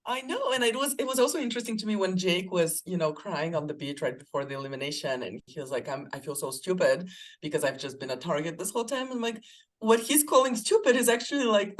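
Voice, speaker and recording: A woman's voice: fairly low pitch, lively intonation, brisk. A woman from Bulgaria, a life coach guest on a podcast, talking casually and reflectively, with frequent laughs and filler words. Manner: reflective, chatty, laughs often, many fillers. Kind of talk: casual conversation.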